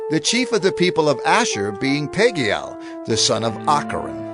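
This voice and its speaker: A man's voice: a little high, very animated, moderate pace. A man reading scripture from a script in a formal, proclaiming manner. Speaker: narrator. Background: faint music.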